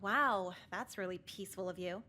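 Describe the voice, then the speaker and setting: An actress voicing a cynical, sharp-tongued teenage high school girl in a video game, speaking bluntly and sardonically. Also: moderate pace, natural voice. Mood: sardonic, blunt.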